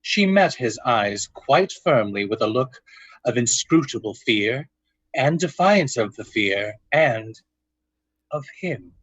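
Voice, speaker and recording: A man's voice: medium pitch, expressive, takes it slowly, a bit bright, breathy edge, dry recording. A man narrating a literary radio drama from a script, in a muffled, dry recording.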